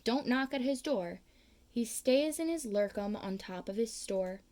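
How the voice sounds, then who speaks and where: Slow, expressive woman reading a storybook aloud. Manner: slow, expressive reading with big pitch swings on key words. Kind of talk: children's read-aloud.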